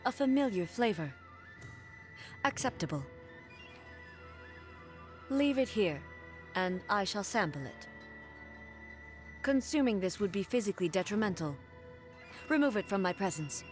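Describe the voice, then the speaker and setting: A stern, godlike female shogun in a video game. Her mid-pitched voice is formal, detached and clipped, with light music underneath. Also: big pitch swings, a little slow, long pauses. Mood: cold, curt. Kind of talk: scripted video game character voice lines.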